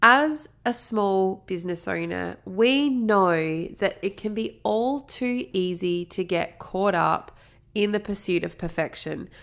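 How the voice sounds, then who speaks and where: An Australian woman in her thirties hosts her own podcast, her voice mid-pitched and a little stuffy from a blocked nose. She talks in an upbeat, chatty way and happily drifts off on small tangents before coming back to her point. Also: slow, gravelly. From South Australia. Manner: chatty, upbeat, prone to digressions. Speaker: podcast host. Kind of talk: solo marketing podcast talk.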